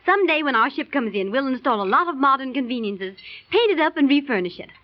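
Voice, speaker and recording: A cheerful young bride in an old radio drama. Her high voice is genteel and grateful. The vintage recording sounds dull and muffled. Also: animated, fast. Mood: cheerful, hopeful. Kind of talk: 1930s radio drama acting.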